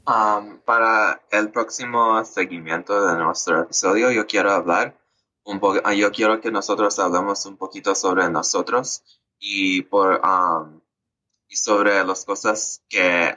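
A man from North Carolina co-hosts a podcast in an unhurried, deliberate way, often pausing with fillers to find his words. His low voice has a bright, forceful edge. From North Carolina, USA. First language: Spanish. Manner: hesitant, searching for words with frequent fillers. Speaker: podcast co-host. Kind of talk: casual podcast chat.

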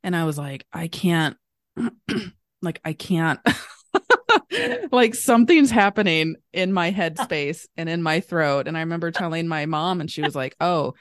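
A woman from Kentucky hosts a podcast in a chatty, easy way, laughing often. Her voice sits low and sounds a little scratchy from allergies. The recording is close and dry. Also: measured pace, no echo. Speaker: podcast host. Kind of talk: casual interview chat.

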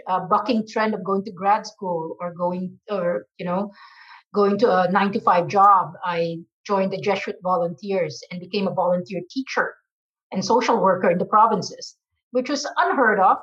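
A woman's voice: medium pitch, moderate pace, a bit bright, dull audio. A middle-aged woman from the Philippines, a marketing executive answering interview questions earnestly with many fillers.

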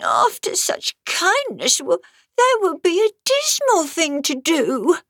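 A naive little oyster from a storybook, pleads in an anxious squeal. The pitch is very high and swooping. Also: female voice, wildly swooping pitch, takes it slowly, fairly bright tone, dry recording, clean studio sound, full character voice. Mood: alarmed. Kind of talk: storybook verse character reading.

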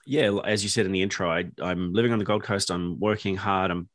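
A man's voice: deep voice, quick pace, no room sound, clean studio sound. A middle-aged man, a podcast guest chatting casually and laughing easily. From New Zealand. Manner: laughs easily, restarts sentences, relaxed. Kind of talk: casual interview chat.

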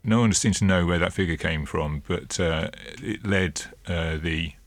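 A man co-hosting a political podcast, explaining in a measured, hesitant way with many fillers and sounding a little under the weather. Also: sits low, no room sound. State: a bit ill. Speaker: co-host and political editor. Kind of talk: casual political podcast chat.

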